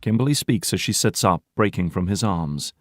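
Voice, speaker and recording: Narrator for an audio drama: man with a lowish voice, reading scene descriptions over audible noise. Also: expressive, moderate pace.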